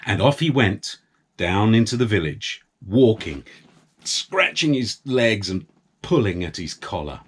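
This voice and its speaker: A man narrating a story for young children, with deliberate, dramatic phrasing. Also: fairly low pitch, very animated, breathy edge.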